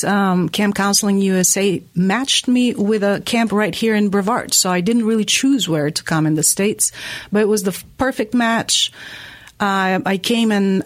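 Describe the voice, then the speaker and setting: A woman's voice: medium pitch, dry recording. A woman from Bulgaria with a Bulgarian-British accent talks as an interview guest in a thoughtful, hesitant way, with many fillers. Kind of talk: casual interview.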